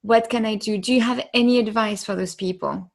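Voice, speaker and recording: A woman's voice: on the high side, fairly even intonation, brisk. A woman hosting an interview, supportive and asking long, careful questions. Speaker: interviewer, host.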